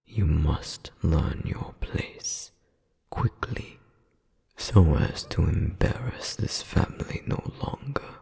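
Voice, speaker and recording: A man's voice: on the low side, mellow, heavily acted. A man playing a cold, domineering demon nobleman scolding as an older brother, with haughty, formal menace in an ASMR audio roleplay. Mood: stern menace. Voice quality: breathy, gravelly half-whisper. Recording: long cavernous reverb.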